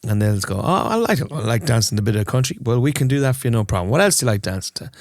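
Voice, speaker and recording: A man's voice: mid-range voice, very animated, rapid-fire, no echo, clean studio sound. An Irish man, a wedding band musician, chatting casually and colloquially as an interview guest, often acting out bits of imagined conversation. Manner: colloquial, acts out imagined exchanges with clients. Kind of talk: casual chat.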